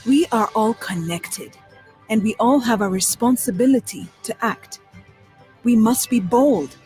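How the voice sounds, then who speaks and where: A woman giving earnest, measured scripted narration for an informational video. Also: fairly high pitch, a little mellow. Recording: music bed under narration.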